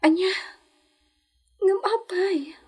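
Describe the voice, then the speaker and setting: A woman acting in an Ilocano radio drama as a gentle, supportive young wife, sweet and emotional, with a melodramatic delivery. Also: very high voice, drawn-out words, full character voice. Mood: concerned, supportive.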